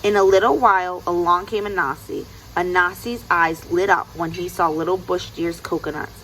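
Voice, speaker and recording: A woman narrating a children's story aloud in an expressive storytelling style. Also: lowish voice, normal speed, slight background noise, poor audio. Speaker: storybook reader.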